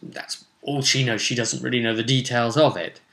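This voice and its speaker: Bright-voiced man telling a rambling game recap. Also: medium pitch, fairly even intonation, moderate pace, gravelly. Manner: rambling, conversational, with many asides. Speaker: game master and presenter of a role-play recap series. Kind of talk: casual unscripted storytelling, recapping a tabletop game session.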